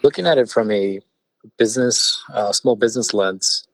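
A man whose first language is Spanish, a business owner answering interview questions in a measured way with frequent fillers and restarts. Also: on the low side, no echo, poor audio. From born in California, partly raised in Mexico City. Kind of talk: conversational interview.

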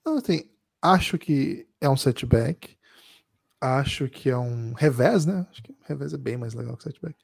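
Male podcast co-host, gravelly and mellow, measured and reflective. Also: mid-range voice, dry recording, studio quality. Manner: measured, thinking aloud with many pauses. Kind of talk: casual sports podcast chat.

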